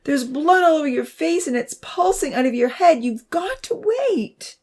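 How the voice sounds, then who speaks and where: Woman narrator playing tearful teen girl, high shifted pitch, pleading, shaky, frantic, audiobook story character. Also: moderate pace, darkish tone. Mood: crying, insistent.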